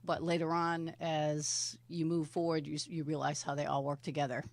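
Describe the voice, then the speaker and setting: A woman's voice: deep voice, flat delivery, normal speed, gravelly, no room sound. A middle-aged woman hosting an interview show, chatty with rambling lead-ins. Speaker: host.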